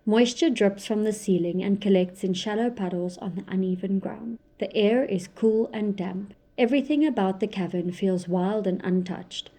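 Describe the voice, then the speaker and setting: A woman narrating a scripted audio drama, measured and descriptive. Her voice sits mid-range with a mellow, darker tone. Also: mid-range voice.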